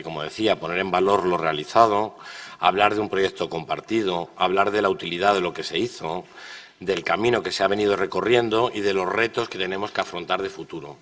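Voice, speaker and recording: In a hall with long reverb, a man with a Castilian Spanish accent gives an opening conference speech. His voice is fairly low and slightly hoarse, and he builds his points discursively with emphatic repeated lists. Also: a bit bright. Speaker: official opening the event.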